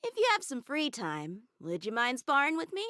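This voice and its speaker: A woman's voice: high-pitched, huge pitch swings, normal speed, bright, forceful tone, no room sound, clean studio sound, heavily acted. A woman voicing an eager, earnest teenage boy swordsman in a game, talking in a boyish, cheerful way. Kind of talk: game character voice lines.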